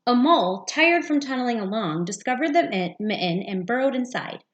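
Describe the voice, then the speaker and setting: A woman's voice: on the high side, animated, moderate pace, highs cut off. A woman, a teacher, reading a picture book aloud for young children. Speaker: second-grade teacher.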